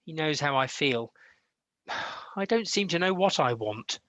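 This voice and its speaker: A man plays a formal gentleman composer in a radio drama, guarded and torn. His pitch moves expressively and the sound is dry and close. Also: animated, unhurried, no room sound. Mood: torn, anguished. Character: Arthur Sullivan, secretive, torn gentleman composer and lover. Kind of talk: radio play acting.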